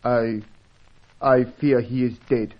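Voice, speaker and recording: A man's voice: mid-range voice, steady tone, close to an everyday voice. Man acting solemn, humble guide. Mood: grim. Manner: formal, halting, florid storytelling. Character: superstitious, humble South American local guide, courteous and solemn. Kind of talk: old-fashioned radio serial acting. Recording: dull, band-limited old radio recording.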